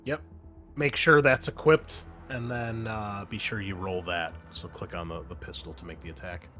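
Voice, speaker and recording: A man's voice: fairly low pitch, steady tone, normal speed, pushed and bright, soft background music. Man game-mastering a tabletop roleplaying game.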